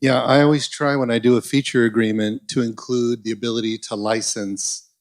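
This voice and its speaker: A man's voice: mid-range voice, steady tone, a little fast, dry recording. A man on a conference panel explaining agreements in a methodical way. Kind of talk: panel discussion.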